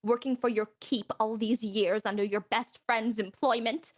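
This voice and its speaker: An actress playing a bold, flirtatious house guest in a period mystery radio play, with a teasing, theatrical manner. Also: female voice, fairly high pitch, steady tone, moderate pace, natural voice. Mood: indignant.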